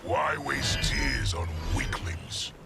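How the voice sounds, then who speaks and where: An actor voicing a brutal, sneering warlord in a video game, with a gravelly, menacing and commanding voice. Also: male voice, mid-range voice, expressive, slow, exaggerated voice. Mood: sneering contempt. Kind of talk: dramatic video game acting. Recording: loud music behind.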